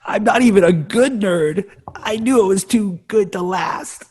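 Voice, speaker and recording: A performer playing a clingy, lovesick, nerdy young boy with a husky voice and melodramatic delivery in a sitcom table read. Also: medium pitch, a little slow, a little mellow. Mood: wounded. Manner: melodramatic, swooping between pleading and wailing.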